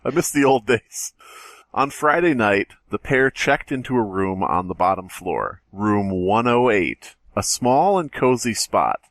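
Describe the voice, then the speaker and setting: Male co-host with a lowish voice, dry-sounding room, wry and jokey in casual conversation and reading. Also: big pitch swings, a little slow, a bit bright, no echo. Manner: wry, joking. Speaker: podcast co-host. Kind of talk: casual joking banter and story reading.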